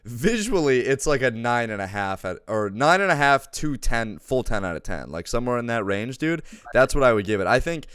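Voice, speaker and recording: Enthusiastic guy reviewing, clear smooth voice. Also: mid-range voice, very animated, brisk, hardly any pauses, a bit bright, no echo, clean studio sound. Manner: enthusiastic, says dude often. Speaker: film reviewer. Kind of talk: casual chat movie review.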